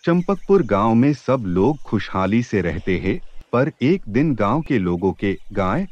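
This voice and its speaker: A man tells a children's story as a scripted narrator. His voice sits on the low side and moves with very lively, varied intonation. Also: dry recording, highs cut off.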